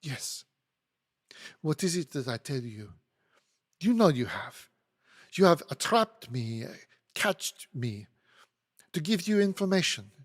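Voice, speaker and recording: A man acts out a fierce French maid from a classic storybook, scornful and insistent. The French accent carries foreign turns of phrase, with a rough edge and long reverb around it. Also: on the high side, sing-song, slow pace, pauses often, exaggerated voice. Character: fierce, disdainful French maid, a cunning vixen-like woman.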